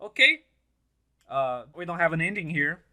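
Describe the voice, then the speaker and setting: A young man whose first language is Portuguese, excitedly chatting to camera about an anime, swearing and laughing often, on a stand mic set a little back. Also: on the high side, normal speed. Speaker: anime reaction channel host. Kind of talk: casual reaction commentary to camera. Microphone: mic on a stand, set a bit farther than usual.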